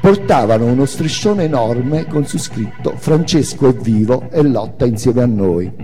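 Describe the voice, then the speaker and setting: A man giving a dramatic first-person memoir reading for the theatre, in measured narration that rises to declaim. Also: mid-range voice, expressive, mellow, slightly rough. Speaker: reader and narrator. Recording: long hall reverb, music underneath. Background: music.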